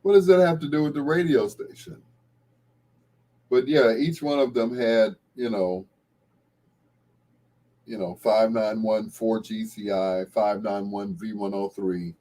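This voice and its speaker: A middle-aged American man with a husky voice hosts casual late-night radio, rambling along like a reminiscing storyteller. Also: on the low side, fairly even intonation, unhurried, pauses often, warm tone. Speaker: radio show host.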